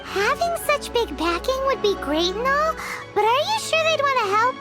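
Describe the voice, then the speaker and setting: A very high, squeaky little fairy companion from a video game. The pitch swoops about playfully, blunt and cheeky like a small child. Music plays prominently behind the voice. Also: female voice, expressive, moderate pace. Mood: puzzled. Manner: speaks of herself in third person, blunt, teasing.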